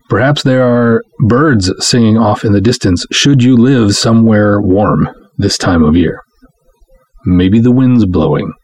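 A man hosting a podcast, addressing the listener directly in a deliberate, emphatic monologue with dramatic pauses. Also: medium pitch, darkish tone, no room sound. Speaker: podcast host.

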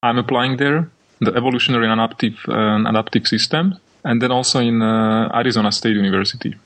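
A young man from Slovakia with a Slovak accent, a podcast guest answering questions in halting English and searching for words. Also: medium pitch, a bit bright. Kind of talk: podcast interview.

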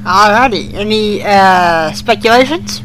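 A man's voice: sits high, unhurried, over music, low-quality recording. A male co-host chatting casually on a fan podcast, in an amateur recording.

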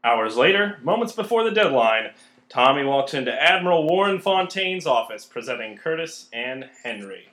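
A man's voice: mid-range voice, big pitch swings, normal speed, fairly bright tone. A man reading scripted narration for a radio play, recorded in an echoey room.

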